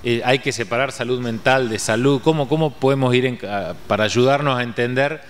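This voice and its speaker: A man's voice: medium pitch, brisk. An Argentine man in his forties interviewing conversationally outdoors, hesitantly thinking aloud through long questions. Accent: Argentine Spanish. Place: outdoors in a street-side public space. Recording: faint outdoor ambient noise.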